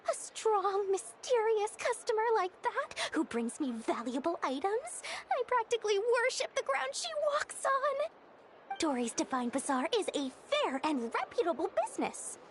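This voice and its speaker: A self-important little merchant with a high, swooping voice, all flattery and greed. She pitches like a theatrical saleswoman in an anime game, with faint noise behind her. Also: unhurried, exaggerated voice. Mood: indignant, scheming. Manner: salesy, exaggeratedly courteous, theatrical. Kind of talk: anime-style video game voice acting.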